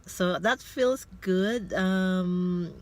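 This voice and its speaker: A woman in her fifties, a Tagalog speaker, telling a personal story in a casual vlog. She talks in a hesitant, reflective way and pauses often as she thinks aloud. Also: lowish voice, a little mellow. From Philippines. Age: 50s. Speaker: vlogger. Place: inside a car.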